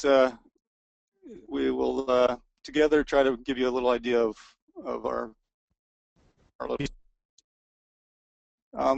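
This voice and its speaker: Man, gravelly voice, tired and wearing out, hesitant webinar presenter, dry close mic. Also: mid-range voice, dry recording, highs cut off. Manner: hesitant, many restarts and fillers, self-deprecating. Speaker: resort co-owner presenting a webinar. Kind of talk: informal sales presentation.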